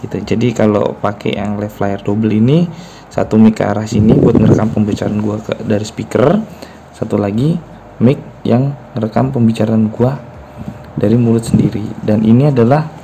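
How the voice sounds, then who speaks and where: A man shows gear in an informal, conversational vlog style. His voice is dark and mellow with plenty of fry. Faint ambient noise sits behind him. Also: on the low side, fairly even intonation, normal speed. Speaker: vlogger demonstrating gear. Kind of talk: casual hands-on demonstration.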